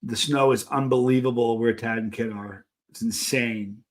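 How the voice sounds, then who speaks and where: A middle-aged man hosting a live stream, chatting casually and telling anecdotes in an animated way, acting out the things people said. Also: mid-range voice, moderate pace. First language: English. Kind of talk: casual chat answering live viewers, telling travel anecdotes.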